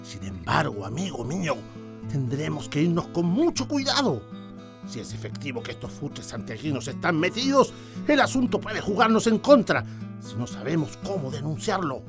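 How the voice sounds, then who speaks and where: A man's voice: on the high side, big pitch swings, moderate pace, music in the background. An actor with a Chilean accent playing a cautious, authoritative newspaper director in a radio drama, speaking emphatically and repeating his words. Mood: grave, cautious. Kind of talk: Spanish-language radio drama acting.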